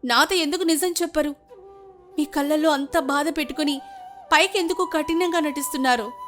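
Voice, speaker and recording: A woman's voice: high-pitched, fairly quick, fairly bright tone, soft background music, voice pushed up. A woman voicing a worried, tearful young village wife in a moral story, pleading and questioning with emotion. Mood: pleading, hurt. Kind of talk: dramatized moral story acting.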